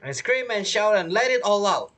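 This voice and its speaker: A woman's voice: mid-range voice, animated, moderate pace, fairly bright tone. A woman giving casual, joking commentary as she plays through a video game story. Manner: playful, joking. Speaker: gamer reacting to a story quest.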